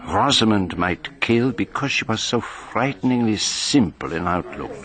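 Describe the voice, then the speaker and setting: An actor playing a shrewd foreign detective, measured and formal, in a radio drama. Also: male voice, on the low side, animated, fairly bright tone. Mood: dry, reflective. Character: shrewd, courteous foreign male detective, inwardly brooding. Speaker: detective narrating his own case. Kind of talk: radio drama narration and dialogue.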